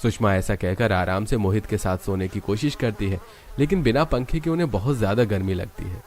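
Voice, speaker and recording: A man's voice: lowish voice, fairly quick, a little mellow, soft background music. A man narrating a scripted story as the storyteller.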